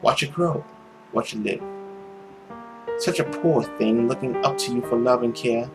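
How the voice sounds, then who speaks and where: A man's voice: medium pitch, unhurried, music in the background, natural voice. A man playing a world-weary immortal demon who poses as a nobleman, musing theatrically through his journal in a visual novel. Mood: wistful musing. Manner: brooding, musing, theatrical diary reading.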